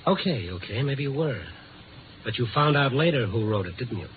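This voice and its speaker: An American actor playing a tough, wry newspaper reporter in a 1950s radio drama, slangy and protective, sometimes narrating in noir style. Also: male voice, medium pitch, expressive, fairly quick, a little hiss or hum, natural voice. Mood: pressing, sardonic. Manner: hard-boiled, slangy. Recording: tinny old 1950s radio recording, music behind.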